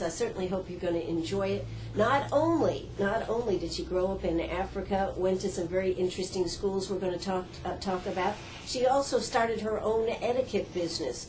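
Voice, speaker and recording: A woman's voice: mid-range voice, a little mellow, slight background noise, rough recording. A woman hosting a radio interview in an art gallery, chatty and effusive, with a folksy way of talking. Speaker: radio host.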